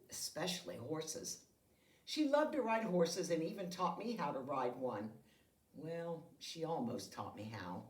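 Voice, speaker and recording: A woman reading a children's picture book aloud with deliberate, expressive phrasing meant for young listeners. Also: on the low side. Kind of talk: read-aloud storytelling. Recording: roomy echo, distant microphone.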